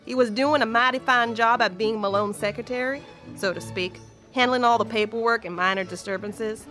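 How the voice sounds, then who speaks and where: Higher-pitched woman narrating a western. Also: brisk, a bit bright, faint music behind. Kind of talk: scripted folksy western storytelling narration.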